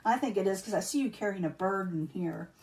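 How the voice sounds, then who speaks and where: A woman reading tarot cards in a casual, chatty way, losing her voice and clearing her throat now and then. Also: mid-range voice, a little fast, darkish tone, recorded from a distance. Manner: chatty, repeats herself, many um fillers. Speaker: tarot reader.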